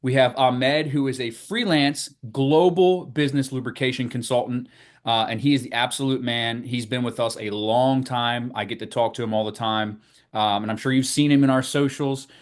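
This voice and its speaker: A man's voice: medium pitch, fairly even intonation, normal speed. An upbeat male podcast host with a mid-range voice welcomes and prompts his guest. He speaks in an encouraging way and often doubles his words as he goes. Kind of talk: podcast interview.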